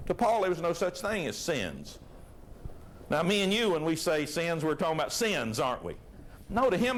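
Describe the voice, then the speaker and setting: Folksy preacher with a loud voice. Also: male voice, on the high side, slight background noise, rough recording. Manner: folksy, animated preaching with rhetorical questions. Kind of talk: sermon to a live congregation.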